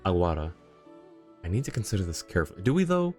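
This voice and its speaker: A man's voice: low voice, very animated, fast talker, a little mellow. A man doing casual, chatty livestream commentary on a game, reacting and replying to his chat. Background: faint game music.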